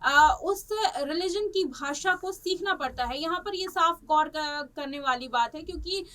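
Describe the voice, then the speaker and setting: A woman presenting news commentary in a halting, repetitive way, stretching words as she searches for the next. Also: high voice, fairly bright tone. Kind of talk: unscripted news commentary on a celebrity case. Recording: distant microphone.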